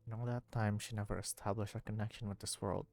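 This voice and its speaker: A man's voice: on the low side, little pitch movement, fast, creaky, darkish tone. A man streaming a video game, giving casual commentary and thinking aloud as he plays.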